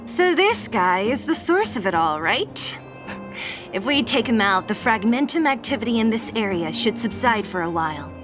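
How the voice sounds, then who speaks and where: A woman's voice: on the high side, big pitch swings, moderate pace. A woman playing a composed, sceptical young female warrior in an anime-style video game, matter-of-fact, commanding and mildly exasperated. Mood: confident. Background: music.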